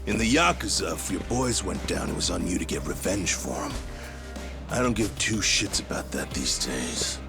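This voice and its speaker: An actor playing a cocky gang thug who taunts and threatens in a video game. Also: male voice, deep voice, lively intonation, normal speed, creaky. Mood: taunting. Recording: music playing behind. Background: music.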